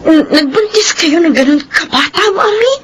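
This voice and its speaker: A woman playing a distressed, indignant young Filipino daughter, highly emotional, in a radio drama. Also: animated, normal speed, slight background noise. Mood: stunned. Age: young adult.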